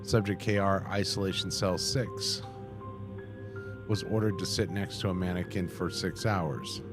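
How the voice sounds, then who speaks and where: A man hosting a reaction video, reading text aloud somewhat haltingly and adding casual commentary. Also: low voice, steady tone. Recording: music playing behind the voice. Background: music.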